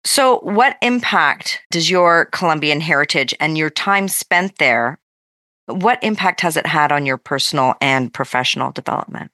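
A woman host with a very low, bright voice, recorded dry and close. She affirms her guest with enthusiasm and keeps up a steady stream of agreement. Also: normal speed, no echo. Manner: affirming, enthusiastic, frequent back-channel. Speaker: podcast host. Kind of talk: conversational interview.

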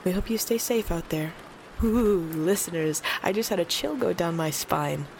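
A woman's voice: on the low side, normal speed, darkish tone, a little hiss or hum, close to an everyday voice, rough recording. A woman playing a concerned small-town late-night radio host in an eerie audio drama. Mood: concerned, engaging.

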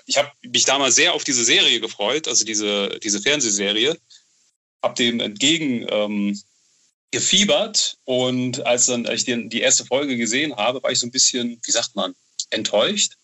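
A man's voice: medium pitch, moderate pace, sharp tone. A middle-aged man chatting casually and enthusiastically as an interview guest, often repeating the starts of phrases as he searches for words. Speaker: podcast interview guest, novelist.

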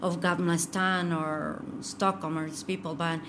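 A woman's voice: lowish voice, flat delivery, unhurried. A middle-aged woman from Ecuador, a tour guide talking earnestly in an interview and often repeating and restarting her words. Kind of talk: casual interview. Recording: noticeable background noise.

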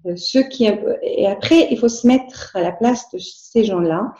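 A woman's voice: mid-range voice, unhurried, dark tone, dull audio. Hesitant woman in a roomy room. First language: Arabic. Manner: hesitant, many fillers and restarts. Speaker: interview guest. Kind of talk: casual interview conversation.

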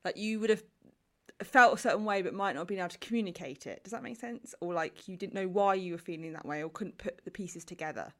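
Young lady hosting a podcast, gushy and excitable, keeps starting sentences over. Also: lowish voice, fast. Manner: bubbly, effusive, restarts her sentences. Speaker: podcast host. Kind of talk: casual interview chat.

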